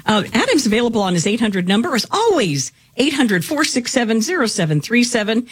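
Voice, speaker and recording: Brisk radio co-host reading an announcement. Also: medium pitch, sing-song, husky. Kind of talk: announcement and brief responses.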